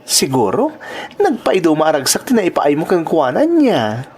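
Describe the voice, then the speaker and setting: A woman's voice: low-pitched, huge pitch swings, moderate pace, darkish tone, a little hiss or hum. A woman with a Filipino accent playing a brash, gossipy young club entertainer who teases and pries in a radio-style drama. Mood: teasing, prying. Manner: teasing, nosy, slangy.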